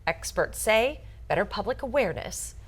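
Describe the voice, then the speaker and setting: A woman's voice: mid-range voice, animated, moderate pace, breathy edge. A woman delivers morning television news in a mid-range voice. Her delivery is polished and scripted, with emphatic stress on important words, as an anchor does.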